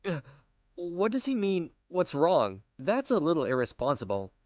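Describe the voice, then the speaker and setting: A man's voice: a little high, huge pitch swings, normal speed, darkish tone, dry recording. A man voicing a kind, self-assured teenage schoolboy who narrates his own story in an anime-style dub, earnest and a little smug. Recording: music bed behind voice.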